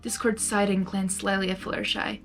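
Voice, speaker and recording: A woman reading fan fiction aloud as an amateur, excitable and giggly, mixing narration with casual reactions. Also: medium pitch, normal speed. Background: music.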